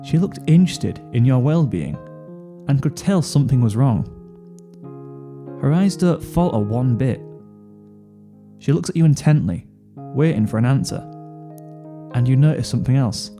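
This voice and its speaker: A man's voice: mid-range voice, animated, moderate pace, pauses often, mellow, faint music behind. A man narrating a second-person fan fiction story.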